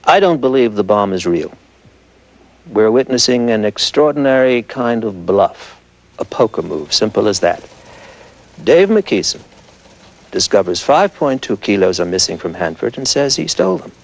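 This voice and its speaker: Male scientist: low, lively-pitched voice, blunt, skeptical, dismissive interview delivery. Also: moderate pace, vocal fry. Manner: forceful, dismissive, plain-spoken. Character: blunt, skeptical male nuclear research expert. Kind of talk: televised expert interview acting.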